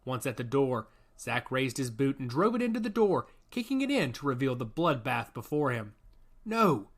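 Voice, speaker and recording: A man's voice: medium pitch, sing-song, moderate pace. A man reading scripted fiction as a narrator in a dramatic storytelling style. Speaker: audiobook narrator.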